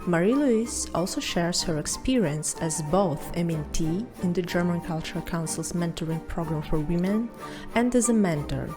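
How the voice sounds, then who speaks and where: Female podcast host, courteous read intro; clear music bed behind. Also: on the low side, animated, moderate pace. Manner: polite, scripted introduction. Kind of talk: podcast interview.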